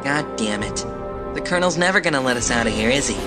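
A man's voice: mid-range voice, animated, fast talker, over music, lightly acted. A man playing a hot-headed, cocky teenage boy in an anime fan dub, brash and irritable. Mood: grumbling.

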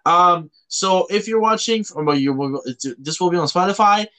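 A man from Canada hosting a casual podcast, talking in a halting way and often rephrasing himself. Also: sits high, expressive, sharp tone. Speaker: podcast host.

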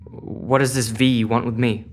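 A man's voice: mid-range voice, level tone, measured pace, a little hiss or hum, lightly acted. An actor playing an anxious teenage boy who asks hesitant questions in a sci-fi audio drama. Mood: questioning.

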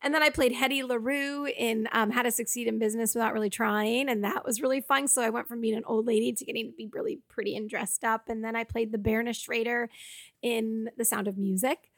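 A young woman from the Boston area with a raspy voice, chatting as a guest in a casual interview, talking loosely with many fillers and frequent laughs. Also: fairly high pitch, fairly even intonation, fairly quick, fairly bright tone, no room sound. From Boston area, United States.

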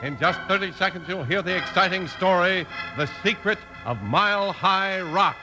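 A man's voice: high-pitched, moderate pace, loud music behind the voice, poor audio. A man announcing and narrating an old radio western in a polished, dramatic, scripted 1950s style.

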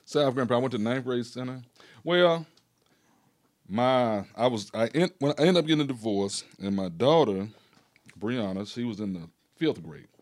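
A man, a retired PE teacher telling stories in a rambling, animated way and laughing often. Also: medium pitch, moderate pace. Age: 60s. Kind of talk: casual storytelling conversation.

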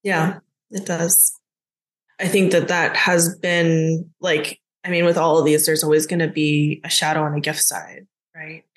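A woman's voice: low-pitched, little pitch movement, a little slow. A woman from the United States talking as a guest, reflective and casual, with many "like" fillers. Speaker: business mentor, guest. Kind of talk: casual conversation.

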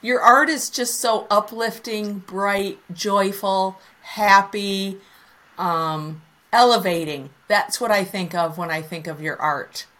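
A woman hosting a relaxed interview, talking over a video call. She sounds enthusiastic, piling up praise with an occasional "um", at a mid pitch. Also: slow pace. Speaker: podcast host. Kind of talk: casual interview chat.

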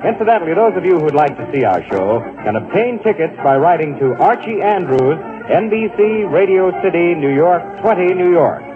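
A man's voice: high-pitched, lively intonation, normal speed, hardly any pauses, narrow, tinny audio, music in the background. A man working as a radio announcer, reading a script in 1940s broadcast style.

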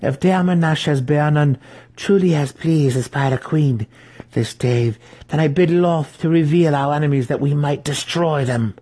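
Man putting on a pleading dark elf matron. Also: on the high side, normal speed, breathy edge, voice pushed up. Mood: pleading, forceful. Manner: raised, pleading and forceful. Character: proud, anxious dark elf matron woman.

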